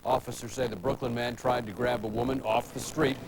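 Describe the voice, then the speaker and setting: A man's voice: medium pitch, level tone, brisk. An American man reading scripted television news as an anchor. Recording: clearly noisy broadcast recording.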